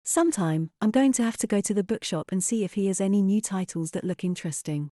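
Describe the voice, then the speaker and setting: A woman's voice: mid-range voice, expressive, fast talker, warm tone, dry recording, clean studio sound, close to an everyday voice. A woman playing a skeptical, disillusioned young wife and mother in an audio drama, questioning and restrained. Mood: calm.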